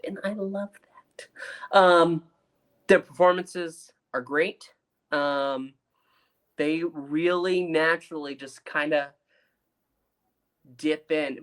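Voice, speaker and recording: A man's voice: fairly high pitch, a bit bright. A man co-hosting a podcast review, chatting informally and with enthusiasm. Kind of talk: casual review chat.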